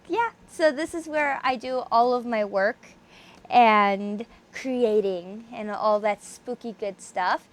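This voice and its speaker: A woman at home who chats casually and gives theatrical, dramatic readings of spooky stories as an amateur host. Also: a little high. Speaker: host and amateur story reader. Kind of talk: casual chat, then dramatic reading of a gothic horror story. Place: home workspace.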